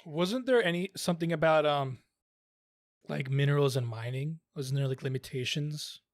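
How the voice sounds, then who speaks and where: A man's voice: on the high side, moderate pace, dry recording. Young man rambling through questions. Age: young adult. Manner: rambling, searching for words. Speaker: podcast co-host. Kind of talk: casual chat, asking questions.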